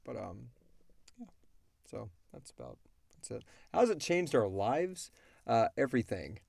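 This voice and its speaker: A man's voice: medium pitch, very animated, measured pace, creaky, darkish tone, no echo. A man hosting a podcast in casual question-and-answer chat, rambling along with self-deprecating jokes. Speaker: podcast host and creator.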